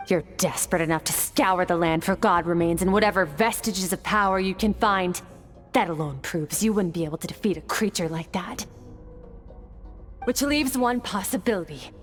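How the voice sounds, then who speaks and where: A woman's voice: medium pitch, fairly bright tone, lightly acted. An actress playing an aloof, battle-hardened swordswoman in a video game, composed and condescending in manner. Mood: challenging, disdainful. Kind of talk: scripted video game acting.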